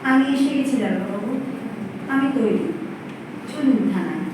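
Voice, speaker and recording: Woman playing defiant young peasant wife, slightly high voice, audio drama, echoey, music behind. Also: lively intonation, a little slow, background noise. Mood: resigned resolve. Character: resolute, defiant young village wife confessing calmly. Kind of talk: audio-play acting. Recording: echoey, distant, music underneath.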